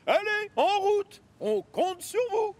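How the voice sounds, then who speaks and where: A man's voice: extremely high pitch, sing-song, slow pace, slight background noise, full character voice. A man voicing a pompous, bossy railway controller with a formal, authoritative manner in a children's cartoon dub. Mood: brisk, commanding. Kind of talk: animated children's series dub.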